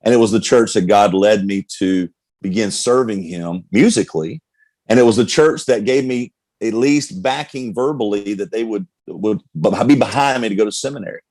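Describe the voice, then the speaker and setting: Middle-aged male pastor, low pitch, dry close sound, candid testimony style. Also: normal speed, a little mellow, no echo. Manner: earnest, candid storyteller with many restarts. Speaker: pastor, guest. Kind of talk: personal testimony in conversation.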